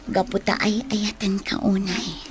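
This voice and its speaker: A woman's voice: mid-range voice, flat delivery, measured pace, natural voice. A woman with an Ilocano accent playing a grateful, tender, forgiving bride in a radio drama. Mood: tender, happy. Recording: radio drama with loud music bed.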